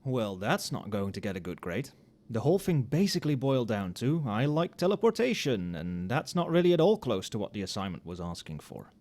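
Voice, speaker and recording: A man playing an overworked, tipsy magic professor in a casual ASMR roleplay, muttering softly with dry, wry asides. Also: medium pitch, sing-song, a little fast. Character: tipsy, overworked male magic professor grading late at night.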